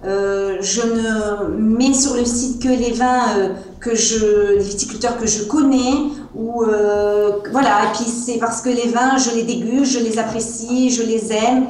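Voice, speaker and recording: A woman's voice: fairly high pitch, fairly even intonation, measured pace, few pauses, darkish tone. Hesitant woman with a southern French accent. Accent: Provençal French. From France. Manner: hesitant, many fillers. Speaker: guest, wine seller. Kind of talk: interview over video call. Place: small room at home, indoors. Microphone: earbuds on a video call. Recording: echoey room sound over a video call.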